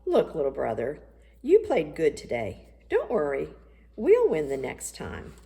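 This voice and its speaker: A woman's voice: deep voice, huge pitch swings, unhurried, gravelly, dark tone, slightly rough, heavily acted. A woman voicing a kindly older brother in a children's storybook, casual and encouraging. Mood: consoling. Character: kindly older brother consoling his little brother. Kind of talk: children's storybook read-aloud.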